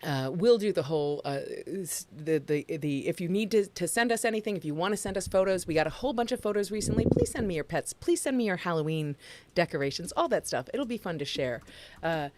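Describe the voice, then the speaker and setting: Low-voiced woman chatting on a livestream. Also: lively intonation, brisk, a little mellow. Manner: chatty, rambling, laughs often. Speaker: podcast co-host. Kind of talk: casual livestream chat.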